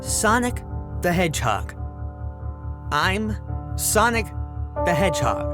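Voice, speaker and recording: A woman's voice: fairly low pitch, big pitch swings, slow pace, over loud music. A woman playing a sarcastic, exasperated young male cartoon hedgehog hero in an amateur audio drama. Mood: exasperated, incredulous. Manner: sardonic, muttering to exclaiming.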